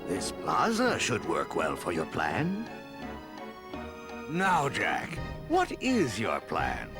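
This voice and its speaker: An older man playing a dignified, wary but jolly Father Christmas in a cartoon game, measured and grandly expressive. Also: low-pitched, over music, heavily acted. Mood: thoughtful, agreeable. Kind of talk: video game cartoon voice acting.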